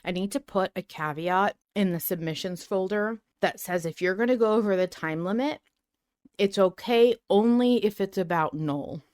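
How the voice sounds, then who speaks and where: A woman's voice: mid-range voice, normal speed, darkish tone. A woman hosting a podcast in a casual, conversational discussion. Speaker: podcast host.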